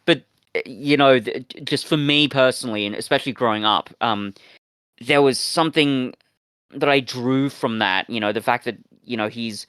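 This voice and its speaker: Guy on a review podcast, casual and halting, repeats himself, very dry sound. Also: mid-range voice, a bit bright, smooth, dry recording.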